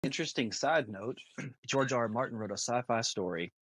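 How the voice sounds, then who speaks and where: A man's voice: medium pitch, normal speed, fairly bright tone, husky, dry recording. A man co-hosting a podcast, casually telling side anecdotes in a conversational way. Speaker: podcast co-host.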